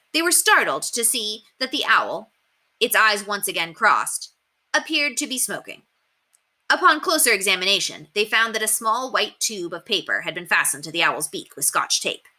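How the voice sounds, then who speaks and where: Bright, lively woman reading a story. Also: medium pitch, normal speed. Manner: animated, emphatic storytelling. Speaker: story reader. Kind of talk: expressive read-aloud of a children's novel.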